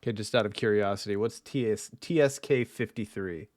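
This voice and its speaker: A man hosting a podcast in casual chat, animated and playfully opinionated. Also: lowish voice, a little fast, dry recording, clean studio sound. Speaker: podcast host.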